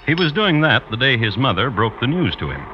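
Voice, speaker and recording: A man's voice: medium pitch, big pitch swings, fairly quick, slight background noise, close to an everyday voice. A man giving wry, mock-serious scripted narration for an old-time radio drama. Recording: dull vintage radio recording.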